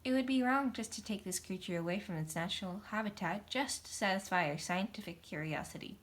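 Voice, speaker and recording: A woman's voice: mid-range voice, fairly quick, lightly acted. A woman voicing a principled female mouse assistant from a storybook, earnest and emphatic. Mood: firm, earnest.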